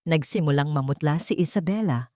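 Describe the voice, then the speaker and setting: A woman narrating an audio drama in a steady, dramatic storytelling manner. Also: sits low, fairly quick, no echo, clean studio sound.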